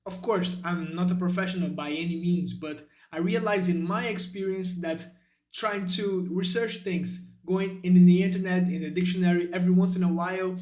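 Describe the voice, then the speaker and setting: High-voiced Brazilian man, halting vlog talk. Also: a little mellow, echoey room. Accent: Brazilian Portuguese. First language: Brazilian Portuguese. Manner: halting, searching for words. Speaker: YouTuber. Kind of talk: spontaneous talking-head vlog.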